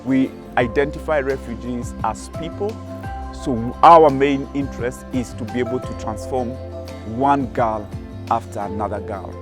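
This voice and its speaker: A man on staff at a charity, giving earnest, measured interview answers with some fillers. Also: medium pitch. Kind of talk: interview soundbites.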